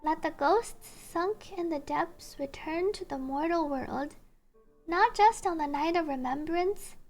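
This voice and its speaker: A woman's voice: very high-pitched, unhurried, a little mellow. A woman livestreaming a video game, chatting casually with viewers and reading on-screen text aloud over game music.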